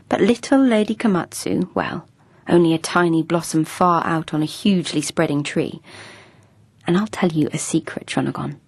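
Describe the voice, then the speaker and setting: A woman's voice: sits low, a little mellow, breathy edge, dry recording, heavily acted. An actress playing a lovestruck young noblewoman in a radio drama, talking in an eager, breathless, whispery way. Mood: proud, whispery.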